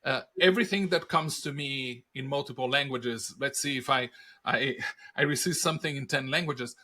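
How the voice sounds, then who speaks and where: A middle-aged man with a Brazilian Portuguese accent, a native Portuguese speaker, answering interview questions in lively stories full of rhetorical questions. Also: mid-range voice, moderate pace. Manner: animated storyteller, rhetorical questions, laughs at own punchlines. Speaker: podcast guest, industry analyst.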